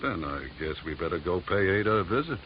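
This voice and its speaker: An actor playing a terse, gruff, world-weary oil man under suspicion in an old radio crime drama. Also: sits low, sing-song, fast. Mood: grim. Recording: dull, hissy vintage radio recording.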